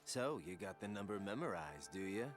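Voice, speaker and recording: A man's voice: deep voice, expressive, normal speed. A man voicing a cocky, teasing young man, an older friend, in a video game. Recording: game audio under loud music.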